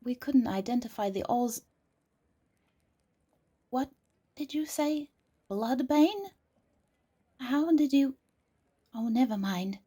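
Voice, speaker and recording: A woman as an anxious, breathy healer. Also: fairly high pitch, lively intonation, slow pace, long pauses, warm tone, heavily acted. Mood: alarmed. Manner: anxious, urgent.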